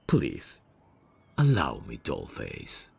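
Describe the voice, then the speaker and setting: Man playing very deep, gravelly suave mafia heir. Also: wildly swooping pitch, drawn-out words, a little mellow, exaggerated voice. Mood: charming, courteous. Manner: smooth-talking, courtly, flirtatious. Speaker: voice actor. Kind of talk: ASMR roleplay, intimate one-sided dialogue.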